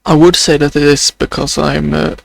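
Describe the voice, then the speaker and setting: Young Swedish-accented male vlogger; slow, gravelly, dry close sound, hesitant delivery. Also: a little high, little pitch movement, dry recording. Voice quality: sounds sad or close to crying. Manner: hesitant, many fillers, slow and halting. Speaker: video journal creator. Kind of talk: unscripted video diary.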